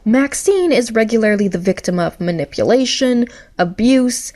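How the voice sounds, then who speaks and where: A woman narrating scripted commentary from an earlier video essay in a measured, critical manner. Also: fairly high pitch, lively intonation, warm tone.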